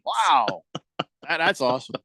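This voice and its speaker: A man's voice: on the high side, big pitch swings, measured pace, creaky, bright, forceful tone, dry recording, clean studio sound. A man hosting a sports radio show, joking around and reading out listener comments in a playful way. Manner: jokey, reads listener comments aloud in playful voices. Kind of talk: casual sports radio chat.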